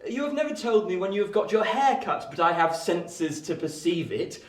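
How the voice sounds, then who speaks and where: An actor playing a genial, frank young Victorian gentleman, earnest and playfully teasing in an audio drama. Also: high voice, expressive, normal speed. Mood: playful insistence. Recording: roomy, echoing sound.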